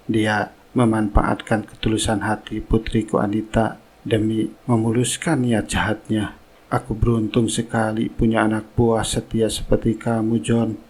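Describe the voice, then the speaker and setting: A man playing a dignified older company boss and father in a cartoon melodrama, husky, measured and authoritative. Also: on the low side, poor audio. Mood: grave, fatherly.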